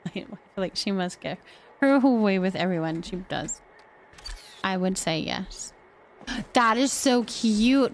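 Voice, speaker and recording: Female live stream host, fairly high register, chatty and informal, voices thoughts as they come. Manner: chatty, thinks aloud. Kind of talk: casual live game stream chat.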